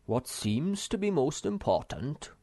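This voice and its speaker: A man doing a loud, pompous know-it-all. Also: a little high, very animated, measured pace, pitched above the performer's own voice.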